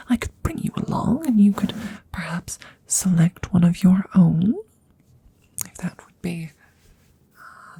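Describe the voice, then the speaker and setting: A woman voicing a courteous, smitten female dragon in a hesitant, formal ASMR roleplay, whispering softly and breathily close to the microphone. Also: on the low side, husky, exaggerated voice. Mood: polite, hopeful. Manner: hesitant, formal, old-fashioned phrasing with murmured repetitions.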